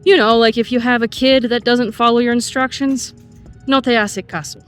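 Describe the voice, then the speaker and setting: Woman, middle-aged, friendly language teacher, outdoor walk-and-talk, soft music under. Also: fairly high pitch, fairly even intonation. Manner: friendly explainer, slows to model phrases. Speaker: Spanish teacher. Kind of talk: casual vlog lesson on a walk. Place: outdoors, walking in a city.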